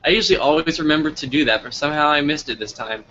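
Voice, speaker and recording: A man's voice: a little high, very fast, pushed and bright, dull audio. A man hosting a casual live interview over an online call, informal and thinking aloud as he goes. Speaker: interviewer and host. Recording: noisy, band-limited online call audio.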